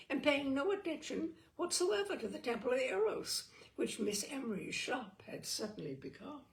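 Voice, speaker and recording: A woman reading a literary story aloud as narrator, expressively, with theatrical, deliberate phrasing and dramatic pauses. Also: mid-range voice, creaky. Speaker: audiobook reader and storyteller.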